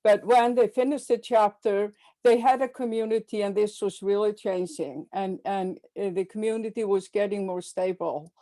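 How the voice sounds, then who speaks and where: A woman with a mellow, soft-edged voice walks through book chapters at an online academic event. She speaks haltingly, repeating words and adding uhs, heard over a video call. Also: mid-range voice, fairly even intonation. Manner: halting, frequent fillers and repeats. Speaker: webinar presenter introducing book chapters. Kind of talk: presenting slides at a webinar.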